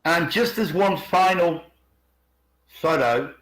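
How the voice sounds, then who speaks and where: Man, higher-than-average voice, informal commentary, rhetorical questions, emphatic repetition, echoey video call. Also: takes it slowly, fairly bright tone. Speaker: video channel host.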